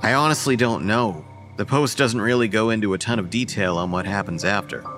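A man plays a young ringleader among friends in a horror audio drama. His mid-pitched voice is bright and forceful, casual but in charge, with faint music underneath. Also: fairly quick, close to an everyday voice. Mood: puzzled.

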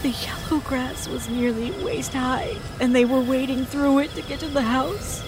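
A woman playing a terrified young female survivor in an audio horror drama, recounting events in a halting, haunted way with stammered repetitions. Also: high-pitched, steady tone, background noise, close to an everyday voice. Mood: haunted, despairing. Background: music.

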